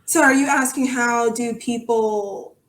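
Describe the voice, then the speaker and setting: A woman's voice: a little high, fairly even intonation, measured pace. A woman answering interview questions conversationally as a podcast guest, thinking aloud in an earnest, reflective way. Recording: roomy, echoey sound.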